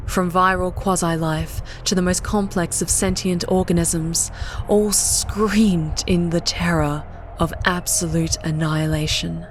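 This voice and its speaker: Lower-pitched woman narrating dark fiction. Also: steady tone, measured pace, a little hiss or hum. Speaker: audiobook narrator. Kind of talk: scripted dramatic story narration.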